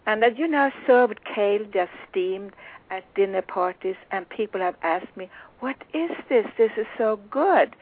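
A woman's voice: mid-range voice, lively intonation, moderate pace, breathy edge. Swedish-accented woman, thin phone-like sound. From Sweden. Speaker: radio show host. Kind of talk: casual interview chat. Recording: tinny phone-like radio sound.

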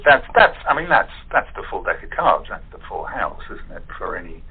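A man's voice: fairly low pitch, sing-song, brisk, husky. A man chatting casually over a narrow call, wry and self-deprecating with a theatrical turn of phrase. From moved from abroad to the show's country. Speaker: voice actor in an audio theatre group. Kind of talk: casual chat. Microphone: phone or internet call. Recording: muffled, narrow phone-line call.

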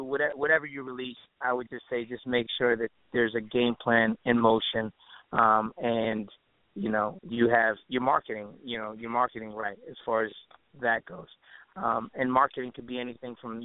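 A man's voice: mid-range voice, no room sound. A man, a musician guest, chatting casually and laid-back, often saying "you know". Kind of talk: casual interview chat. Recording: tinny, narrow phone line.

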